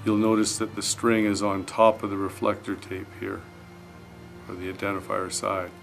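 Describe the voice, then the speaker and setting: A man in his fifties giving a measured, explanatory product demonstration as a diving instructor, speaking outdoors. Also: fairly low pitch, monotone, creaky, fairly bright tone, distant mic, soft background music. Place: outdoors at a cave mouth by water.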